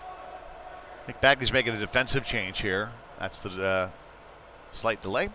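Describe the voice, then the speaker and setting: A man's voice: lowish voice, measured pace, bright, forceful tone. A man calling live ice hockey play-by-play in a conversational, folksy way, with casual asides between the action. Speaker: play-by-play commentator. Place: indoor youth hockey arena. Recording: noisy arena with clattering ambience.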